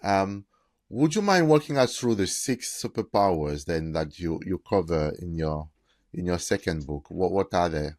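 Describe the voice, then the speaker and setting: Male podcast host, low voice, polite questions, close dry mic. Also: animated, moderate pace, darkish tone, dry recording. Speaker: interview host. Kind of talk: interview questions.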